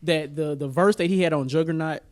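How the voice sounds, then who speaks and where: A man with a voice a little higher than most men's, chatting as a podcast co-host. He talks informally, with plenty of slang and an agreeable manner. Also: a little fast, darkish tone. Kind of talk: casual chat about music, films and sports.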